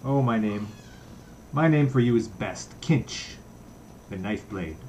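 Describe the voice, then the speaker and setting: A man's voice: medium pitch, expressive, takes it slowly, a little mellow, slight background noise. A man reading a story aloud, voicing a brash, mocking, jovial young man in a theatrical, teasing way. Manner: declaiming, mock-solemn, teasing.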